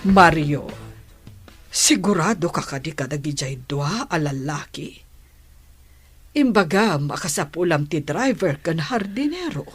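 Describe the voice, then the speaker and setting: A woman's voice: deep voice, lively intonation, measured pace, faint music behind. An actress playing a worried, doubtful wife of a rich man in an Ilocano radio drama, with an Ilocano accent.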